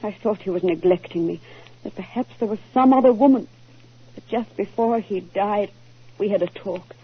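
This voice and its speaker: An actress playing a distraught, emotional widow in a 1940s radio drama, breathless and dramatic. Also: female voice, mid-range voice, normal speed, husky, close to an everyday voice. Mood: regretful. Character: distraught, guilt-ridden English widow, emotional. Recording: tinny, narrow-band 1940s radio recording.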